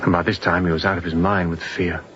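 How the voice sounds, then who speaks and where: An actor playing an exhausted, intense, unrepentant fugitive chief mate in a radio drama, speaking in clipped, grim sentences. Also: male voice, sits low, little pitch movement, quick pace, a bit bright, muffled recording, slight background noise. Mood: bitter, intense. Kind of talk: radio drama acting, confessional storytelling.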